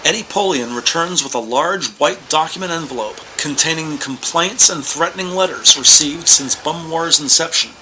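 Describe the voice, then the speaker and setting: A man's voice: fairly high pitch, bright, forceful tone, slight background noise, poor audio. A man giving scripted, present-tense narration for a crime drama.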